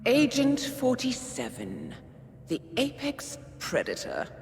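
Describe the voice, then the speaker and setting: A mocking, menacing phantom woman in a video game. Her haughty, theatrical delivery is drawn out and swings widely in pitch. Long reverb and noisy ambience surround the voice. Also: huge pitch swings, takes it slowly, exaggerated voice. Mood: mocking menace. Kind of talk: dramatic video game cutscene acting.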